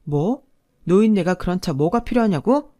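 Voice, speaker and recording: A woman's voice: fairly low pitch, lively intonation, normal speed, close to an everyday voice. A woman voicing a resentful young husband who complains defensively. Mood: aggrieved. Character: sulky resentful husband, young adult man.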